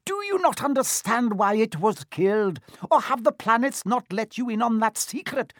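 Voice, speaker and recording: A noble young centaur, acted out by a man, speaks in a high, lifted voice. His words are formal and emphatic, with a solemn, urgent pride that suits a storybook hero. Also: very animated, normal speed, no room sound, clean studio sound. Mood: indignant, defiant. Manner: formal, earnest, emphatic speech. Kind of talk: storybook character voice.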